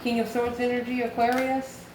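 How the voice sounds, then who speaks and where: A woman's voice: a little high, monotone, moderate pace. Woman reading tarot in echoey, noisy room. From United States. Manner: musing aloud in short, repeated phrases with rhetorical questions. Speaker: tarot reader, channel host. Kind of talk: intuitive tarot card reading, addressing the viewer. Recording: echoey room with clear background noise.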